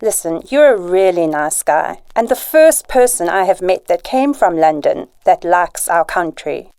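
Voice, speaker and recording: A woman's voice: on the low side, animated, measured pace, dark tone, smooth, no room sound. A woman playing the earnest, regretful daughter of a mob boss in a radio drama.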